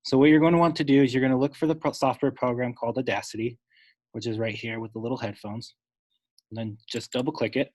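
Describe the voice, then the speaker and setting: A man giving an unscripted, instructional step-by-step software tutorial, talking wordily and correcting himself as he goes. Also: mid-range voice, fairly even intonation, fast. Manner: instructional, wordy, with self-corrections.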